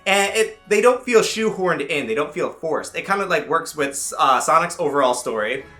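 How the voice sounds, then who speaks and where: Animated, bright-voiced man, excitable and sweary. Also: fairly high pitch, normal speed, faint music behind. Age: 30s. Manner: excitable, rambling, profane, jokey asides. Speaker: YouTube movie reviewer. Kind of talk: casual comedic review to camera.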